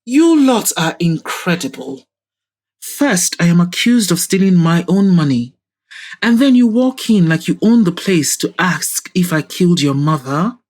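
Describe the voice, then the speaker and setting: A woman's voice: fairly low pitch, animated, unhurried, darkish tone. A woman playing an arrogant middle-aged businessman in an audio drama, pompous, mocking and self-righteous. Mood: scornful, indignant. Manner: pompous, scornful.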